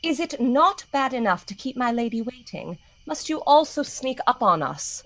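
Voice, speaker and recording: A woman's voice: on the high side, big pitch swings, moderate pace, a bit bright, no echo, exaggerated voice. A woman acting a pert, outspoken lady's maid in a radio play, indignant and teasing. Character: pert, outspoken, loyal maidservant, scolding and mocking.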